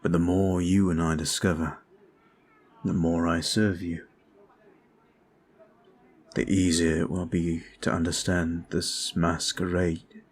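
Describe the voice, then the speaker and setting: Guy doing a really deep slow vampire, polite and protective, soft asmr roleplay style, some background ambience. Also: little pitch movement, slight background noise. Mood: grave, confiding. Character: calm, courteous young vampire man, protective and apologetic. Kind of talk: ASMR roleplay audio drama.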